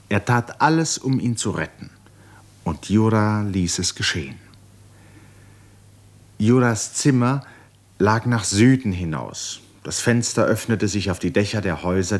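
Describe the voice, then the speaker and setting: A man narrating a 1950s German radio drama as a sober storyteller, in a measured, formal literary style. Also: low voice. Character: sober male storyteller of a tragic novel.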